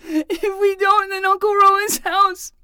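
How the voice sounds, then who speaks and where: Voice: very high voice, level tone, measured pace, pushed and bright, no echo, studio quality, exaggerated voice. An actor playing a dramatic twelve-year-old boy who talks like a self-narrating hard-boiled private detective in a fiction podcast. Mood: pained, tearful. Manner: theatrical, self-narrating in third person.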